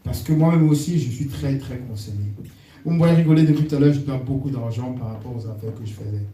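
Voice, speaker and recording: A man giving an earnest, animated testimony in front of his church congregation. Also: medium pitch, fast. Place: church hall. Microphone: distant room mic. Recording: echoey hall from far off, faint hiss.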